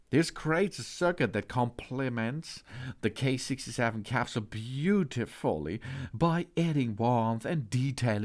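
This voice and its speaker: A man presenting a product walkthrough, partly reading out specifications, with halting phrasing and occasional stumbles. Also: fairly low pitch. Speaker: product reviewer. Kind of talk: product walkthrough, partly read from spec sheet.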